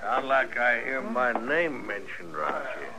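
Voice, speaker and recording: An actor playing a swaggering, mocking frontier bully with folksy, sneering speech in a 1950s radio drama. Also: male voice, medium pitch, sing-song, unhurried. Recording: old radio recording with faint noise.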